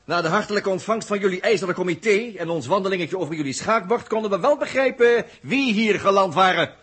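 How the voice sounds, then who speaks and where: An actor playing a bold, sardonic space adventurer in an old radio drama, mocking and emphatic as a stern inspector. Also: male voice, sits high, expressive, fast, a bit bright, breathy edge, full character voice. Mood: mocking authority. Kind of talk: 1960s radio drama acting.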